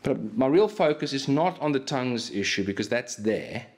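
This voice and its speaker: Man preaching with lively gestures. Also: medium pitch, normal speed. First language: Afrikaans. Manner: animated preaching with hand gestures, frequent restarts. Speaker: pastor. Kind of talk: sermon. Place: small church hall with plaque on wall. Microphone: stand mic in front of him.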